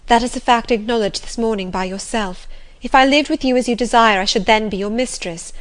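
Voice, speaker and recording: A woman's voice: on the high side, a little fast, natural voice. A woman reader voices a young governess in a classic novel. She is earnest and resolute. Her delivery is restrained and respectful, holding feeling under firm control. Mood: firm, grave. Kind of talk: audiobook character reading of classic novel.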